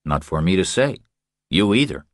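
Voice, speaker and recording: A man's voice: sits low, very animated, normal speed, no room sound, clean studio sound, voice pushed up. A man voicing a sour, defensive male state trooper in an audiobook, curt, stubborn and dismissive.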